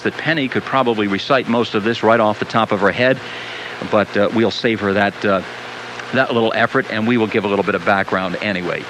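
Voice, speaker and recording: An American man hosting a radio interview in a conversational, easygoing announcer's delivery with measured phrases. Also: medium pitch. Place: radio studio. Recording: noisy old cassette of radio broadcast.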